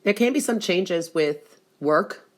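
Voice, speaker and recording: A woman with a stuffed-up, congested voice giving an intuitive spiritual reading, pausing often with "um" and repeating that she feels the energy. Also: lowish voice, animated. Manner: hesitant, frequent "um" and "I do feel that energy". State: congested from allergies. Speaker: tarot and gemstone reader.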